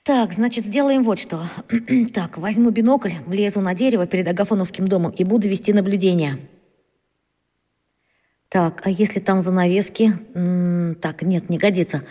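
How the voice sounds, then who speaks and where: A woman's voice: mid-range voice, normal speed, dark tone, lightly acted. An actress playing a self-important schoolboy detective, muttering his schemes to himself in bursts, in a children's radio play. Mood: scheming. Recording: long reverb, as inner thoughts.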